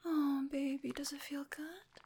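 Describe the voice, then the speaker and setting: A woman's voice: high voice, flat delivery, unhurried, dark tone, slight background noise. A woman whispering breathily in an intimate adult ASMR roleplay, with a soothing, coaxing, affectionate manner.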